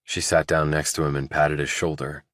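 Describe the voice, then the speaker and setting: A man's voice: extremely low pitch, flat delivery, moderate pace, a bit bright, no echo, studio quality. A man reading scripted narration from a crime thriller as an audiobook narrator.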